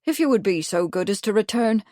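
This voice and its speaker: A woman voicing a calm, resigned male village constable who speaks steadily and firmly. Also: medium pitch, fairly quick, no echo, clean studio sound, close to an everyday voice. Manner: steady, firm.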